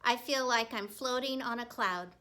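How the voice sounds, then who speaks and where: A woman reading a children's story aloud as a teacher would, with expressive narration and deliberate, emphatic phrasing. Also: on the high side.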